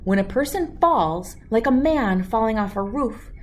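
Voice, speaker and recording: A woman's voice: a little high, expressive, unhurried. Woman reading a children's book aloud. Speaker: read-aloud storyteller. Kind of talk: expressive children's picture book read-aloud.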